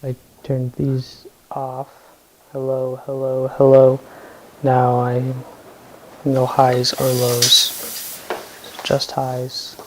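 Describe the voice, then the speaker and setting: A man's voice: medium pitch, completely flat delivery, drawn-out words, pauses often, a little hiss or hum, poor audio. A man chatting casually while he sound-checks his streaming gear, thinking aloud and often answering "right".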